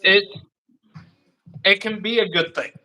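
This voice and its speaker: A young man talks as a guest on a casual livestream and tells his stories quickly and earnestly. His voice is high and bright. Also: fairly even intonation, faint music behind. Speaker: guest musician.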